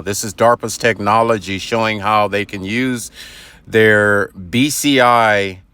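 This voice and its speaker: Man, lowish voice, explanatory host commentary, close dry sound. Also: sharp tone, clear, resonant tone, no echo.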